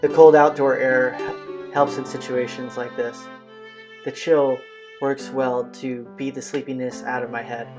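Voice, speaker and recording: A man's voice: mid-range voice, little pitch movement, measured pace. A man reading visual novel narration aloud as a let's play host, occasionally stumbling over a phrase. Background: game music.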